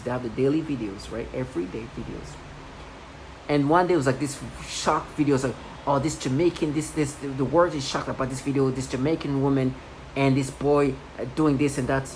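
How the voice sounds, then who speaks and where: A woman's voice: extremely low pitch, a little mellow. A woman in her thirties in a rambling personal monologue, agitated and repetitive, with many restarts. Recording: noisy home recording.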